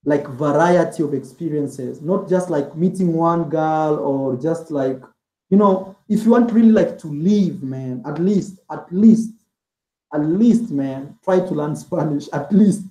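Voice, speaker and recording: A man's voice: on the high side, measured pace, mellow. Rambling man in an echoey room. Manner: rambling, heavy use of "like" and "man". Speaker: interview guest, travel vlogger. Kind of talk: casual interview chat.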